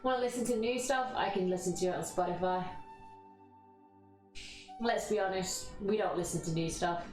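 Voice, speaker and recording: A woman's voice: fairly low pitch, normal speed, long pauses, darkish tone. A woman with a London accent leading a casual live yoga class, chatting and bantering informally with viewers. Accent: London English. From London, England. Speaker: yoga instructor and live streamer. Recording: echoey room, distant mic, music behind. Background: music playing.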